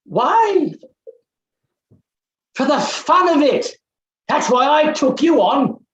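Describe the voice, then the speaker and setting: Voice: high voice, lively intonation, takes it slowly. Overbearing middle-aged male professor played by an actor, stage drama, declamatory and scornful, wide swooping delivery, echoey room. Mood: brisk, offhand. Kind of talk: theatrical staged play reading. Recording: roomy, echoing sound.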